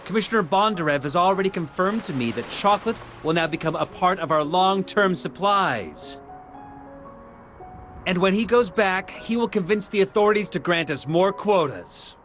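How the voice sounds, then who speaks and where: An actor playing an older man in a video game, a paternal leader addressing children in measured, formal speeches. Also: high voice, animated, a bit bright, faint music behind. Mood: solemn, announcing.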